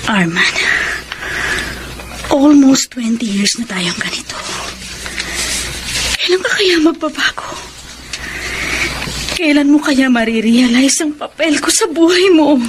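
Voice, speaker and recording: A very high-voiced, wounded Filipino wife. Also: female voice, animated, slow pace, pauses often, noisy background. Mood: weary, sad. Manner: emotional, reproachful, pleading. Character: neglected, hurt middle-aged wife, long-suffering and pleading. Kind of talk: Philippine radio drama acting.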